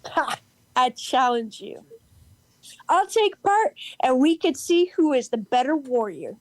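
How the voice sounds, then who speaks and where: A woman playing an eccentric, cheerful character in an amateur audio play, reading with halting lists and emphatic bursts. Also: sits high, sing-song, dry recording. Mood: playful, challenging. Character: eccentric, cheerful woman proud of odd sandwiches and games.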